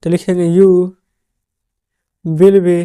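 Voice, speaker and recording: A man's voice: high-pitched, level tone, drawn-out words, mellow, clean tone. A male teacher dictating a translation lesson word by word, often checking in with his viewers. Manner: dictates the answers word by word, addresses viewers as friends.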